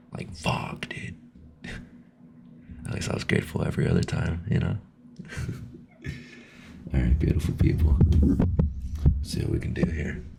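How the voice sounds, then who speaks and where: A young man making an ASMR video, talking softly in a laid-back, slangy way and often whispering. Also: extremely low pitch. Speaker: ASMR creator. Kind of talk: casual ASMR ramble. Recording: clear background noise.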